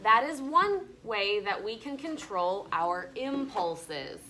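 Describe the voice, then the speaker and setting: A woman's voice: mid-range voice, lively intonation, takes it slowly, sharp tone, a little hiss or hum. A woman reading the part of a firm, patient female schoolteacher in a storybook. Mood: patient explaining.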